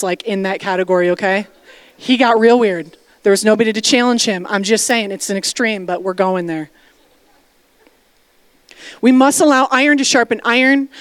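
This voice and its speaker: A woman's voice: a little high, clean tone, no echo. A woman pastor preaching a sermon, emphatic and direct, calling out to the congregation for responses and dropping casual asides. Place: church sanctuary.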